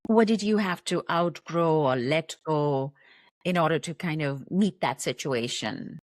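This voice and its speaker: A woman with a lowish voice hosts an interview. She asks calm, curious questions and affirms her guest. The sound is dry and close. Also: a little slow, no room sound. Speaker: podcast host.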